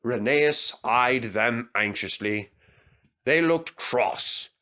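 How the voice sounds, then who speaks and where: A man reading a children's story aloud as an expressive narrator. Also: medium pitch, drawn-out words. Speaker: story reader.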